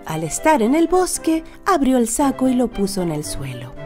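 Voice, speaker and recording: A woman narrates a children's fairy tale in a fairly high, very lively voice. Her delivery is scripted and expressive, with music playing clearly behind it. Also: normal speed.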